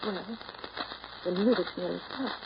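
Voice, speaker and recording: An actress playing a restless, self-pitying woman afraid of getting old, pleading emotionally and haltingly in 1930s radio drama style. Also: fairly low pitch, vocal fry. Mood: wistful coaxing. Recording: muffled, noisy 1930s radio broadcast recording.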